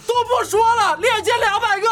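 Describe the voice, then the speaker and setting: A woman with a high voice banters casually and pitches like a playful online seller. Faint music sits behind her on the variety-show recording. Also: a little fast, a bit bright. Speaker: voice actor on a cast variety show. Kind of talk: casual banter and playful sales-style promotion.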